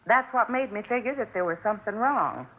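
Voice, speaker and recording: Actress as talkative older American woman, emphatic and fretful, very animated, 1950s radio drama, narrow noisy vintage sound. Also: medium pitch, a little fast, lightly acted. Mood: chatty, worried. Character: chatty, insistent older neighbour woman, folksy and fretful.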